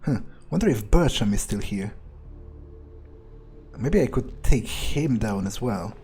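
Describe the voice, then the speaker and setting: A man playing a wary, ruthless vampire on the hunt, thinking aloud in halting, hesitant phrases over a video game. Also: fairly low pitch, lively intonation, mellow, soft background music. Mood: musing, calculating. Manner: musing, hesitant, halting self-corrections. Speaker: let's player role-playing a game character. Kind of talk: in-character improvised gameplay narration, thinking aloud.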